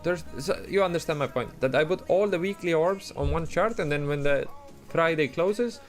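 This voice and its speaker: Estonian-accented man chatting over soft music. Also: on the high side, normal speed. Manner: loose, chatty, reading out chat messages, many restarts and fillers. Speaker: live stream trader. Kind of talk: casual live-stream commentary.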